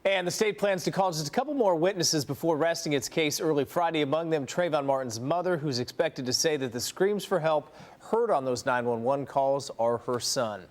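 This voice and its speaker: American male news anchor, higher-pitched voice. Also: moderate pace. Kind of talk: scripted TV morning news reading.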